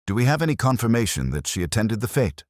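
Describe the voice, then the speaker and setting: A man voicing a polite, quietly witty police inspector with a measured, unassuming manner in a mystery story. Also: on the low side, very animated, no room sound, clean studio sound, pitched above the performer's own voice. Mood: polite, probing.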